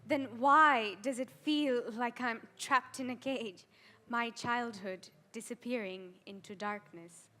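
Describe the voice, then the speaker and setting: Woman to play adolescent girl, quietly anguished and ashamed, dramatic monologue, higher voice, unhurried heavy delivery. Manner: slow, deliberate, with heavy pauses and swelling emphasis.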